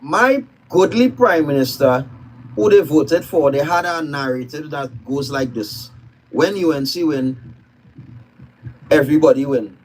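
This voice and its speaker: Trini guy on a live stream, kinda high voice, outspoken and sarcastic. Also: measured pace, a little hiss or hum, rough recording. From Trinidad and Tobago. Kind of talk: opinionated live stream commentary.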